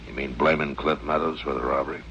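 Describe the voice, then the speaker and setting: Very deep-voiced, grave frontier marshal. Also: male voice, steady tone, quick pace, sharp tone. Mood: somber regret. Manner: terse, sober, plain-spoken. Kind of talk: 1950s-60s radio western acting. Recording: muffled, noisy old radio recording.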